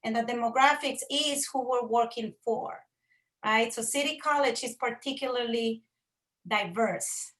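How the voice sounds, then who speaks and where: A woman's voice: a little high, a little slow, a bit bright, low-quality recording. A woman from Costa Rica with a Costa Rican Spanish accent, a professor giving an earnest presentation to faculty, often repeating words for emphasis.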